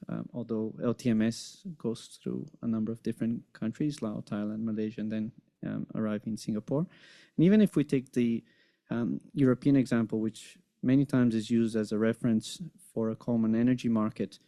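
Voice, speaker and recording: Panelist man, mellow and even, close dry sound, measured delivery with ums. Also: medium pitch, dry recording. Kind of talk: panel discussion.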